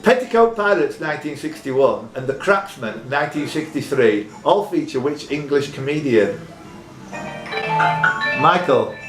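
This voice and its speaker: A man's voice: a little high, expressive, measured pace. A man hosting a live pub quiz, reading questions and chatting teasingly with contestants. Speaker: quiz host. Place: echoey room in a pub. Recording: roomy echo, music in background.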